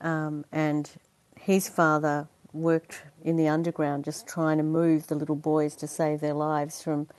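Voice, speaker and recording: Low, mellow-voiced woman. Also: completely flat delivery, a little slow, hardly any pauses, no room sound. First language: Dutch. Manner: chatty and earnest, laughs easily, many fillers. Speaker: interview guest, performer. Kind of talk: conversational interview.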